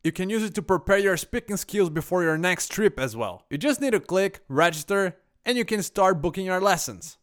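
A man hosting a podcast, reading a scripted sponsor spot and introducing the guest in a lively promo delivery. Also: sits high, moderate pace, few pauses. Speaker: podcast host.

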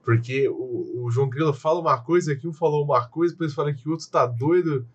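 A man's voice: a little high, quick pace, husky, highs cut off. A Brazilian man co-hosting a casual film review chat, who animatedly quotes lines from the film. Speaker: podcast co-host.

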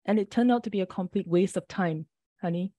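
A woman from Singapore, reading her poems aloud in a measured, deliberate way, her voice a little off from the early hour. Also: medium pitch, mellow, dry recording, muffled recording. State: slightly off early-morning voice. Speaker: poet. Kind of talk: poetry reading.

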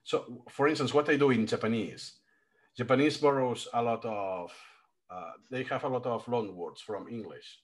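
A man's voice: mid-range voice, normal speed. A man in a casual call, explaining a language-learning idea step by step with frequent restarts. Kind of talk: casual video call chat.

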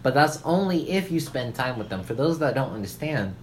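A woman with a strikingly low voice that moves around a lot in pitch. She banters casually and states her opinions with emphasis, swearing freely. There is noticeable noise behind her. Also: very animated, fairly quick, darkish tone. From Puerto Rican family background.

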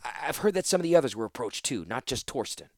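A man's voice: low voice, fast talker, no room sound, studio quality, lightly acted. A man voicing a nervous young male student who protests anxiously.

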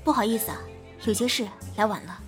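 A woman's voice: medium pitch, quick pace, over music, lightly acted. A woman playing a bold, self-assured actress in a Chinese audio drama. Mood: assertive, sly.

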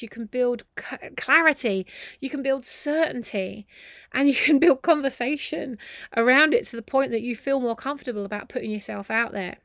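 A woman host giving a motivational coaching talk to viewers, speaking emphatically and deliberately, often building lists of repeated phrases. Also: a little high, no echo, studio quality.

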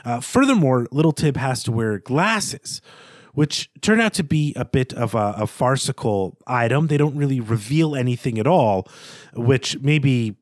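A man's voice: mid-range voice, lively intonation, unhurried, no echo, clean studio sound. A man speaking as a podcast host in conversational literary analysis, thoughtful and articulate, with hesitant stammered repeats. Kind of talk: literary analysis in conversational monologue, with quoted passages.

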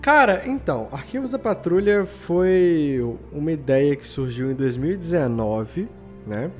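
A Brazilian man with a fairly high voice talks as a guest on a podcast. His delivery is casual and rambling, dotted with little conversational tags. There is faint music behind him. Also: lively intonation, a little slow. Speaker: podcast guest, audio drama creator. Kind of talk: casual interview chat.

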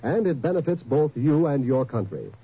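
A man's voice: mid-range voice, very animated, moderate pace, breathy edge. A male radio announcer giving an earnest, persuasive scripted ad read on a lo-fi 1950s broadcast.

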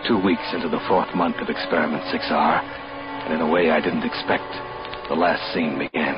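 Man acting a deep-voiced, coldly scheming poisoner. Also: lightly acted. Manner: measured, confessional narration, meekly deferential in dialogue. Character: coldly scheming hotel employee secretly poisoning his boss. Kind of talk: old-time radio drama acting, first-person narration. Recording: dull old radio recording, loud music.

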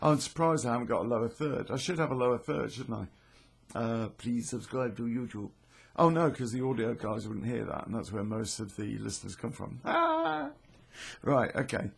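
A British man with a hoarse, croaky voice, hosting a podcast in a rambling, self-deprecating, sweary way. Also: medium pitch, big pitch swings, moderate pace. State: hoarse from illness. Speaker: podcast host and storyteller. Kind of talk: casual chatty intro to a podcast.

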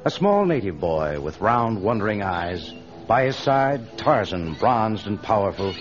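A man's voice: lowish voice, sing-song, a little slow, soft background music. Male radio serial narrator, muffled vintage sound. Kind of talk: scripted dramatic narration, old radio serial. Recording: dull vintage radio transcription.

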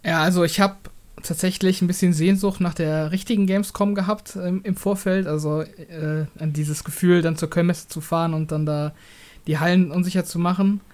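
A man's voice: high-pitched, fairly even intonation, moderate pace, a little mellow. A young adult man co-hosting a casual podcast, talking hesitantly with many ums and restarts. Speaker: podcast co-host.

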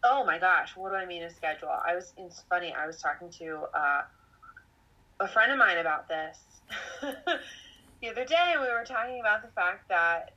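A woman's voice: lowish voice, lively intonation, moderate pace, sharp tone, dull audio. A woman of twenty-eight chatting on a podcast, rambling and self-reflective, laughing now and then. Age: 20s. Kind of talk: casual conversational storytelling.

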